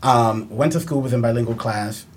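A man's voice: medium pitch, moderate pace, a little hiss or hum. A man in his early thirties from New York whose first language is Spanish, talking candidly and telling anecdotes as a podcast guest. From New York, United States. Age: early 30s. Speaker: interview guest, event professional. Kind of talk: casual podcast interview.

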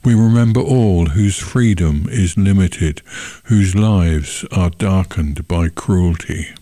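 Slow, low-pitched man leading church prayer. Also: warm tone, dry recording. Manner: measured, solemn phrasing. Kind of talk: sermon and intercessory prayer.

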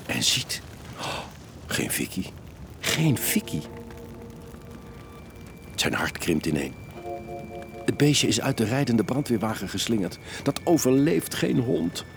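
A man's voice: mid-range voice, very animated, slow, gravelly, long pauses. A man narrating a children's audio story in a dramatic, suspenseful, scripted style. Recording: music bed behind the narration.

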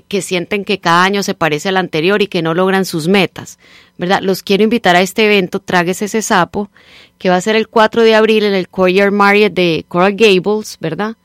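A woman's voice: mid-range voice, no echo. Woman, middle-aged, Colombian accent with a Nicaraguan tinge, persuasive radio guest, very dry studio sound. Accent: Colombian Spanish mixed with Nicaraguan. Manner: animated, persuasive, coaching tone with frequent "¿verdad?". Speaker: radio guest, time-management trainer. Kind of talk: radio interview, promoting a seminar.

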